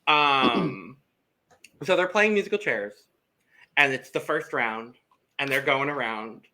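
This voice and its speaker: A man's voice: mid-range voice, lively intonation, slow pace, sharp tone. A man hosting a podcast, recapping a reality TV episode in a chatty, joking way. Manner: chatty and joking, narrates events. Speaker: podcast host.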